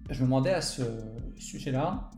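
A male interviewer with an average-pitched voice poses questions formally and carefully, in long winding phrases. The sound is clearly echoey, with light music underneath. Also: moderate pace. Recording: echoey room, music behind.

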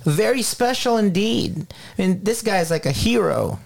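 A man's voice: high voice, expressive, normal speed, vocal fry. A man from the Philippines co-hosting a faith talk radio show, eager and stumbling a little over his words. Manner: eager, repeats words as he starts sentences. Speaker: radio co-host.

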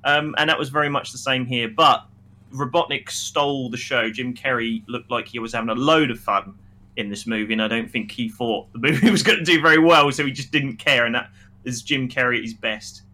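A man's voice: mid-range voice, steady tone, brisk, pushed and bright. A man co-hosting a casual film review podcast, giving his opinions emphatically and repeating phrases for stress.

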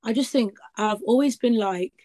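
A woman's voice: on the high side, normal speed, mellow. Chatty young woman. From East Midlands, England. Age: 20s. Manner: chatty, rambling with many likes and ums. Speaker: guest. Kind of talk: casual interview chat.

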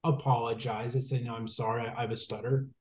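A man's voice: medium pitch, level tone, fast talker, darkish tone. A man in his twenties, a guest who stutters, talking thoughtfully with repeated words and fillers. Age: 20s. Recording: roomy echo.

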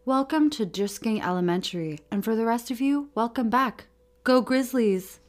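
A stout male teacher of forty voiced by a woman makes formal announcements in a story. The voice is pushed higher than the reader's own, with faint music behind. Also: expressive, normal speed, lightly acted. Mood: welcoming. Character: short, round fortyish male schoolteacher. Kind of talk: storybook character dialogue.

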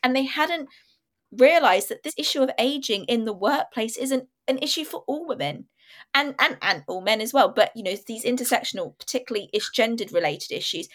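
A woman hosting an interview, with a loud and lively way of talking. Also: a little high, brisk. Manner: loud, lively, asks long questions. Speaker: interview host. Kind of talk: conversational interview.

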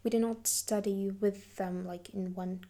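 Young woman, slow and creaky. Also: mid-range voice, steady tone, crowd noise. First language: not English. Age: young adult. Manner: hesitant, searching for words. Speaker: university linguistics student being interviewed. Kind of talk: casual interview conversation.

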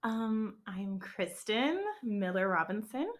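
A woman co-hosting a podcast with friends, chatting casually, animated and laughing often. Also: medium pitch, slow. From born in Georgia, raised in Florida and Texas. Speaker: podcast co-host, stay-at-home mom. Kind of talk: casual chat among friends.

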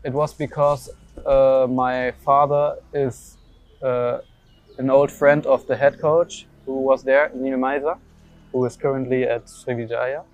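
A man's voice: medium pitch, flat delivery, measured pace, low-quality recording. A young man from Germany answering interview questions about his football career, hesitant, with many fillers and restarts. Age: young adult.

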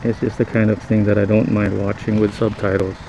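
A fairly low-voiced man talks to himself on his motorcycle, thinking aloud in loose, halting English full of fillers and restarts. His helmet mic catches him with loud road and traffic noise roaring behind him. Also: flat delivery, vocal fry. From Philippines. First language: Tagalog. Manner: rambling, halting, reflective, many fillers and restarts. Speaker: podcast host. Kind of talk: casual spoken monologue while riding. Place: on a motorcycle in city traffic, outdoors. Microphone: helmet-mounted mic.

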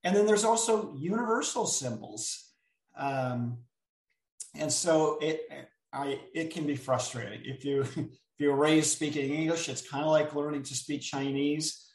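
A man who is an author, telling stories as a guest in an unhurried, thoughtful way with frequent filler pauses. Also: fairly high pitch. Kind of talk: reflective storytelling chat. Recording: roomy sound, distant from the mic.

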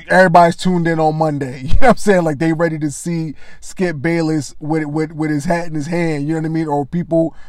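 A man with a loud voice giving casual, conversational commentary on sports media, often adding "you know what I mean.". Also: a little high, no echo.

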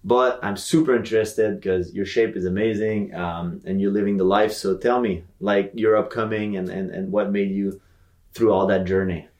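A man chatting casually as an interviewer, eager and quick to chime in. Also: on the low side, steady tone, darkish tone, reverberant. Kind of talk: casual chat.

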